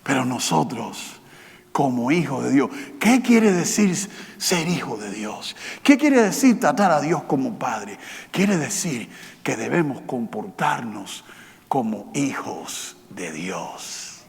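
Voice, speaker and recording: A man preaching a sermon as pastor, with emphatic repetition, rising to loud passages and dropping to quiet, slow ones. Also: medium pitch, big pitch swings, gravelly. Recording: long hall reverb.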